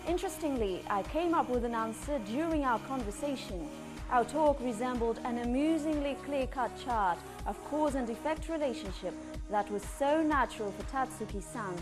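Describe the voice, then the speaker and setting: A woman's voice: high voice, expressive, moderate pace. A woman reporter reading scripted first-person documentary narration, with instrumental music behind her.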